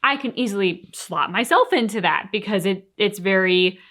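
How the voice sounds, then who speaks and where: A woman's voice: medium pitch, lively intonation, normal speed, fairly bright tone. A woman co-hosting a reaction show, giving thoughtful, conversational commentary in a casual chat style. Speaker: co-host of a reaction channel.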